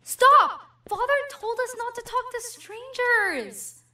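A woman's voice: extremely high pitch, expressive, takes it slowly, pushed and bright, full character voice. A woman voicing a wary little monster child in a video game. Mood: alarmed. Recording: distant, faint music behind.

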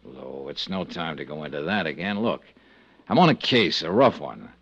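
An actor playing a tough, shrewd American insurance investigator in a 1950s radio drama, clipped and wry in manner. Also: male voice, extremely low pitch, very animated, normal speed, vocal fry, fairly bright tone, no room sound, full character voice. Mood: firm, probing. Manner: clipped, hard-boiled, wry. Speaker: lead actor and narrator of a radio detective serial. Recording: muffled 1950s radio recording.

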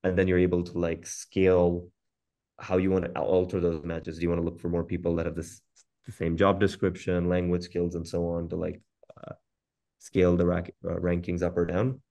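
A man's voice: deep voice, completely flat delivery, moderate pace, gravelly, warm tone. A man giving an informal, conversational webinar presentation over a video call, often repeating words as he goes. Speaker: company founder presenting product.

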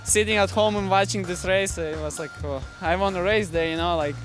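A man's voice: high-pitched, brisk, a bit bright, faint music behind. A young man, a motocross rider, chatting in a paddock interview with lots of you know and like, into a handheld mic. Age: young adult. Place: outdoor race paddock. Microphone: handheld mic held by interviewer.

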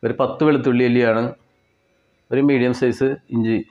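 A man with a Kerala Malayali accent giving step-by-step cooking instructions in a plain way, with pauses and repetitions. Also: mid-range voice, flat delivery, darkish tone, lo-fi sound. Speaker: home cook presenter. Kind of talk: step-by-step recipe narration.

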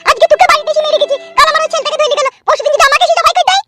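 A woman voicing a scolding, aggrieved village wife and mother in a comedy cartoon, full of shrill, nagging complaints. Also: rapid-fire, background noise. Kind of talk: amateur comedy cartoon dialogue. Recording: music bed behind dialogue.

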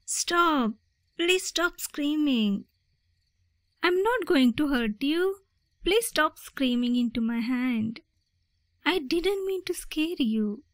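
A woman voicing a sweet, gentle vampire in a soft, hushed, reassuring ASMR roleplay, coaxing her human prey. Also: high voice, lively intonation, takes it slowly, no echo. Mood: anxious, pleading.

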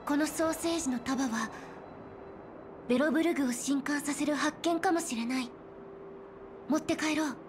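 A woman voicing a calm, low-key young female explorer in a Japanese anime game, plain and matter-of-fact in manner. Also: normal speed, pauses often, close to an everyday voice. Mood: quietly intrigued. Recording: clean studio voice over game music.

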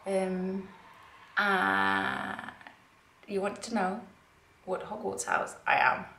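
A young Norwegian woman in her twenties, speaking English with a British-tinged accent, chatting to camera in a giggly, self-deprecating way as a knitwear designer. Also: medium pitch. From Norway. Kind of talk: casual chat to camera. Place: dim living room, indoors. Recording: roomy living-room echo.

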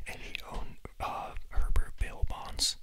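A man's voice: slow, sharp tone. A young man whispering as he casually tells stories to listeners, full of fillers, recorded on a phone at a friend's house. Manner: chatty and giggly, many fillers, whispering throughout. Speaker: podcast host.

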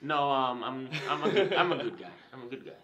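A soft-spoken young man chatting casually and hesitantly while playing a board game. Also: medium pitch, expressive, a little fast, dull audio. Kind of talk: casual chat during a deduction game. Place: small tiled room, indoors. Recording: roomy sound, faint background noise.

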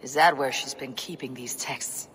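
Stern young Viking warrior, blunt, grave, video game acting, faint ambient noise. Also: female voice, rumbling low, steady tone, moderate pace, fairly bright tone. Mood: pondering.

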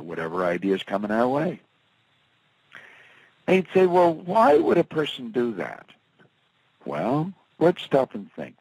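Male seminar speaker, husky, lively pitch, rhetorical and theatrical, dry close sound. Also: no echo, low-quality recording. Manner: rhetorical questions and acted quotes, swinging from loud to soft. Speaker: motivational speaker. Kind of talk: seminar lecture with slides.

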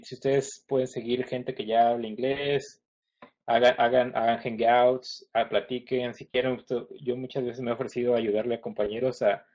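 A man's voice: medium pitch, darkish tone. A Mexican man, likely in his thirties, speaking at an online conference with many fillers, restarts and stops. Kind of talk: webinar presentation. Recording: dull lo-fi webinar audio.

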